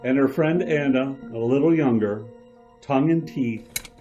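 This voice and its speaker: Male actor as radio drama narrator, theatrical, measured, suspenseful storytelling, medium pitch, clear music bed. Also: dark tone, lo-fi sound, lightly acted.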